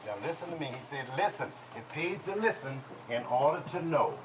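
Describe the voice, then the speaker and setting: A man teaching a congregation in a preaching style, folksy and call-and-response, with speech, dropping at times to low murmurs. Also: expressive, brisk, slight background noise, poor audio. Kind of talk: preaching Bible lesson. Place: congregation hall.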